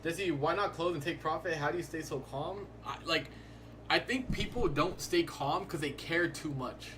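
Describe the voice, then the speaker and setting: A man's voice: on the high side, moderate pace, noisy background. A young man hosting a live stream, chatting casually in a laid-back, rambling way and filling his talk with "like". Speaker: livestreaming day trader.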